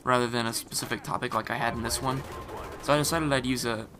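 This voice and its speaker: A man's voice: mid-range voice, steady tone, fast. A man with a scratchy, irritated throat giving casual, rambling voice-over commentary on a game, with lots of fillers and restarts. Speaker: gaming commentator. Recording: voice-over mixed over loud game gunfire. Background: game gunfire and explosions.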